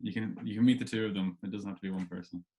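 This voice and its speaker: A man's voice: lowish voice, fairly even intonation, very fast, warm tone. A man hosting a casual interview, asking questions hesitantly and rephrasing them as he goes. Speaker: podcast host, interviewer.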